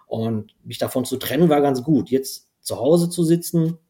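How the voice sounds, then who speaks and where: A man's voice: medium pitch, normal speed, mellow. A man whose first language is Dutch, a podcast guest talking casually and rambling with many fillers. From Belgium. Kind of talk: casual conversation.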